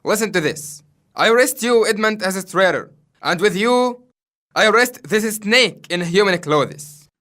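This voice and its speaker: A woman's voice: mid-range voice, lively intonation, unhurried, fairly bright tone, voice pushed down. A woman voicing a stern, commanding male duke who speaks in a formal, accusing way.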